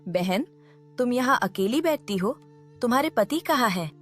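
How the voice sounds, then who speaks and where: A woman voicing a curious village woman who asks prying questions in a high, inquisitive voice over faint music. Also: lively intonation, moderate pace, a bit bright.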